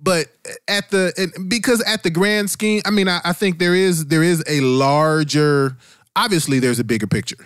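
A man's voice: fairly high pitch, moderate pace, fairly bright tone, smooth, dry recording, studio quality. A man with an American accent in a casual, opinionated discussion, speaking informally with slang and some cursing. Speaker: podcast panelist.

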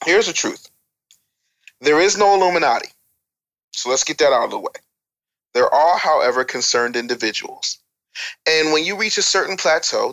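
A man's voice: mid-range voice, sharp tone, rough recording. An American man talking as an opinionated guest on a casual talk show, emphatic and repeating phrases for effect like a storyteller. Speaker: interview guest.

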